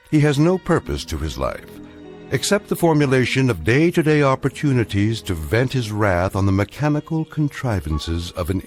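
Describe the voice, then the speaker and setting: An actor as a grave, ominous narrator of uncanny tales, giving measured, ironic scripted narration. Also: male voice, fairly low pitch.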